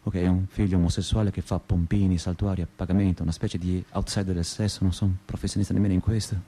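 Low, mellow, restless young man. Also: little pitch movement, fast talker, husky. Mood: intimate, anguished. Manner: intimate, confessional, crude-mouthed. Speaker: stage actor. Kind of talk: theatrical radio monologue.

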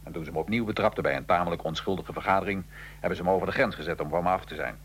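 A man acting in a radio drama as a defiant, wry nineteenth-century Italian revolutionary prisoner, telling his story gravely and with irony. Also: sits low, racing, slight background noise. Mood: grave, wry recounting.

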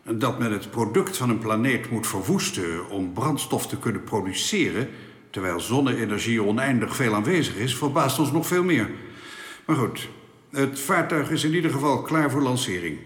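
A man's voice: mid-range voice, lively intonation, close to an everyday voice. A man playing a formal alien councillor in a reverberant hall. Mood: formal, cool. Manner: formal, measured, authoritative. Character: formal, unbending alien councillor delivering official decrees. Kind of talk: radio drama acting. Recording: echoey hall with long reverb.

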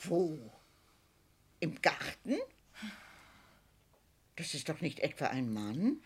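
Husky, grand old aunt. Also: female voice, deep voice, big pitch swings, takes it slowly, full character voice. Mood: indignant. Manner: patronising, grand, fussy. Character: old Hamburg consul's widow, grand, businesslike aunt. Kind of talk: German radio play acting.

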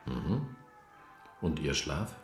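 A calm therapist in a radio play. His voice is very deep, and he keeps to brief, neutral prompts. The room sounds echoey. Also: extremely slow, vocal fry, soft background music, heavily acted. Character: calm therapist questioning the maid.

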